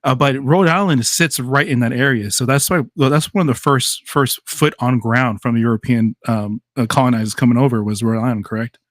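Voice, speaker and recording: A man's voice: medium pitch, fairly quick, hardly any pauses, no echo, studio quality. A man hosting a live podcast, chatting loosely and casually with a guest, laughing easily and using plenty of fillers. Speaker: podcast host.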